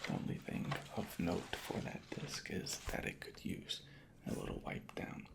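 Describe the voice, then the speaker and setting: A soft-spoken ASMR creator murmuring methodically in a breathy, whispery voice, unhurried and close to the microphone. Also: noisy background. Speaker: ASMR creator playing a record inspector.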